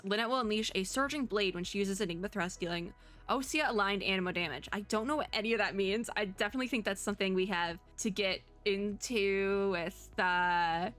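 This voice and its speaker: Bright-voiced, excitable woman streamer. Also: mid-range voice. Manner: excitable, rambling, expressive. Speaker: streamer, VTuber. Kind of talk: casual chatty game reaction commentary.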